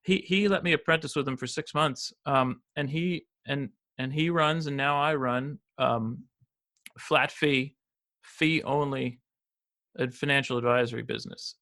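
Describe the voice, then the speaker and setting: A man's voice: medium pitch, a little slow, pushed and bright. An American man in his fifties, a financial advisor talking as a podcast guest, rambling with many restarts and fillers. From United States. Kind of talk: casual interview conversation.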